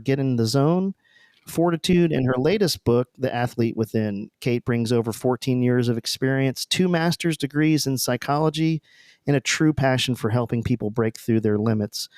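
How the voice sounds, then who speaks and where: A man's voice: mid-range voice, a little mellow, dry recording, studio quality. A man with an American accent hosting a podcast, moving between introductions and casual interview questions. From southern United States. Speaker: podcast host.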